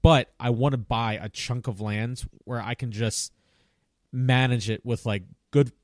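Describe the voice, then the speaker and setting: A man's voice: mid-range voice, fairly even intonation, a little slow, no room sound, studio quality. A man in his late thirties hosting a casual chat, talking in a rambling, easygoing way and laughing often. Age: 30s. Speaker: podcast host.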